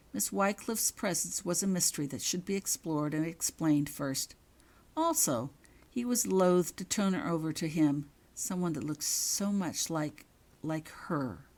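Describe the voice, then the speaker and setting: Female author-narrator for scripted fiction, low register, animated intonation. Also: moderate pace. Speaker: author reading own fan fiction.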